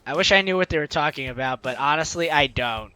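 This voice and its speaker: Male gaming host: bright high voice, jokey commentary and read-aloud narration, soft music behind. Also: fast talker. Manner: jokey asides in reaction to the game. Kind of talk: let's play commentary mixed with reading game narration aloud.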